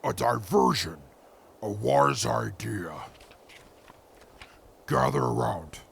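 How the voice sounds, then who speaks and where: A man's voice: mid-range voice, animated, slow pace, a bit bright, heavily acted. A man playing a stern, wary scout in a post-apocalyptic audio drama, with clipped, formal and cautious phrasing. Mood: wary, grave. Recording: clear background ambient noise.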